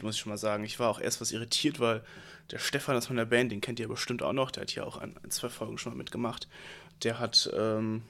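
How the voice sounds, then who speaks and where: Young man, gravelly voice, stuffy cold, casual slangy podcast chat, rambling storyteller. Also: medium pitch, fairly bright tone. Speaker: podcast host.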